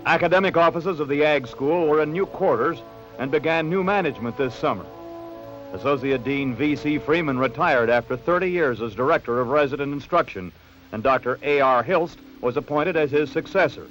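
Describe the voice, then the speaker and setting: An American man narrates a 1960s newsreel from a script. His voice sits a little high, and his delivery is measured and polished. Faint music plays behind him. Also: fairly bright tone, rough recording. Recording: late-1960s university newsreel soundtrack. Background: background music.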